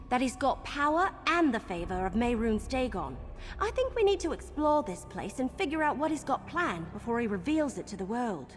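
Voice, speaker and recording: An actress playing a bold, suspicious woman adventurer in a video game, brisk and informal. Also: high voice. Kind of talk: scripted video game dialogue. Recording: long reverb, game music behind.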